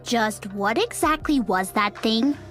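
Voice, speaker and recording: A woman playing a blunt, worrying young female soldier in a game, expressive and outspoken. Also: a little high, slow pace, faint music behind. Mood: worried, puzzled. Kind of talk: dubbed game cutscene voice acting.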